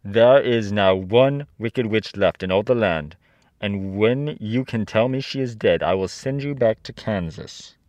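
A man voicing an imperious giant floating wizard head from a storybook, speaking in a stern, deliberate, commanding way. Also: on the low side, darkish tone, no echo, close to an everyday voice. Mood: stern, demanding. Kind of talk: storybook character reading.

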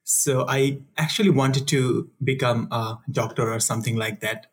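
A young man from Bangladesh, a conservation researcher answering interview questions in a soft, easygoing way with frequent fillers and laughs. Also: mid-range voice, fairly even intonation, moderate pace, breathy edge.

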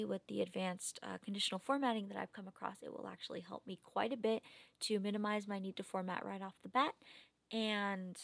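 A young woman records a spoken work log late at night, tired and methodical, picking apart her own habits as she thinks aloud. Her voice has a gravelly, fry-heavy edge. The sound is close and dry, with no room around it. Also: medium pitch, fairly bright tone, dry recording. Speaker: research assistant logging work progress.

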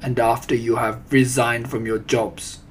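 A man's voice: medium pitch, little pitch movement, measured pace, a little hiss or hum, close to an everyday voice. Man voicing a tyrannical, thundering witch leader. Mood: commanding. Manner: imperious, thundering, broken English. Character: tyrannical, ranting female head witch with foreign grammar. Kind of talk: audiobook character voice.

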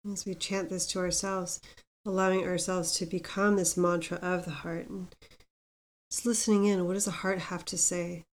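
A woman guiding a meditation as host, speaking gently and without hurry, leaving pauses between instructions. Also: lowish voice, level tone. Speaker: meditation guide, podcast host. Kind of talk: guided meditation with mantra chanting.

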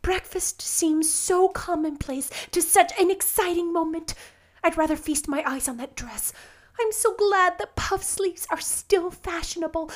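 A woman reading a storybook as an excitable, gushing young girl who exclaims with romantic gratitude. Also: extremely high pitch, a little slow, voice pushed up. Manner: effusive, rapturous exclamations. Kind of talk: storybook narration character voice.

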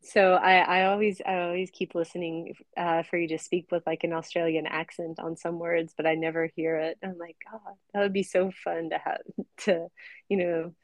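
A woman's voice: lowish voice, little pitch movement, normal speed, no room sound. An American woman hosting a casual interview, chatty and laughing often. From United States. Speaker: podcast host.

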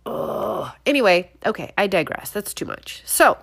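A woman in her thirties, congested and nasal, hosting a casual opinion monologue with loud outbursts and muttered asides. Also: medium pitch, sing-song, unhurried, gravelly, slightly rough. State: congested, sniffling. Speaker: podcast host.

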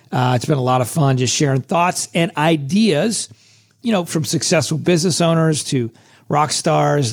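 Male podcast host, fairly high voice, hoarse and scratchy today, chatty motivational delivery. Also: darkish tone. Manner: casual and chatty, with many restarts.

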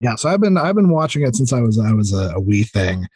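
A man in his thirties chatting casually as a podcast guest, explaining things enthusiastically in a halting way full of fillers. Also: mid-range voice, very animated, darkish tone, breathy edge, dry recording, studio quality. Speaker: podcast guest, audio drama creator. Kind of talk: casual interview chat.

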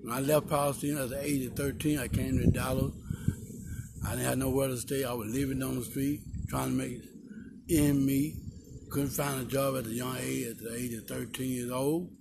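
Older texan man telling his story slow, gravelly voice, noisy park outside. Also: medium pitch, level tone. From Texas. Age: 60s. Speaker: guest. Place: outdoors in a park. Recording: noisy outdoor recording.

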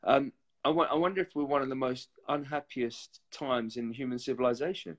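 A man's voice: mid-range voice, steady tone, fairly bright tone. A man, a guest on a chat show, who talks reflectively and often stops and restarts his sentences. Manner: reflective, halting with repeated restarts. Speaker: guest, former reality TV contestant. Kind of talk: casual interview chat.

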